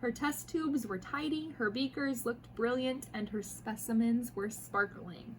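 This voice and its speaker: A woman reading picture books aloud to children in an expressive, playful way, talking directly to her young listeners. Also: on the high side, unhurried, a little hiss or hum, low-quality recording. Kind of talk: animated storybook read-aloud.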